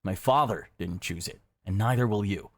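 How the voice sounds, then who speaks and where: A man reading dramatic scripted second-person narration in a grave, measured storytelling manner. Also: on the low side, dry recording. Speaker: video narrator. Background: background music.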